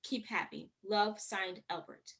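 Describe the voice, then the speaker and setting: An actress playing a businesslike telegraph operator, measured and impersonal, in a 1940s radio drama style. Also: female voice, medium pitch, steady tone, a bit bright, close to an everyday voice. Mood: formal, detached. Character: formal, businesslike female telegraph operator reading messages.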